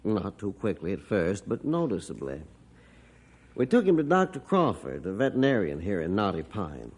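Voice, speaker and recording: A low-voiced grieving father. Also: sing-song, moderate pace, darkish tone, slightly rough, exaggerated voice. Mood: somber. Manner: earnest, heartfelt storytelling. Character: grieving middle-aged father, dog owner. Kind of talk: 1950s radio drama acting.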